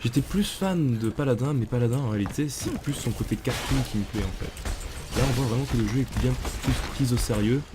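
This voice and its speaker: A man with a cold, chatting in slang and getting excited while commenting on a game to his viewers. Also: medium pitch, fairly quick, background noise. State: has a cold. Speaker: gamer. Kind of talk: casual gameplay commentary. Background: game music and sounds.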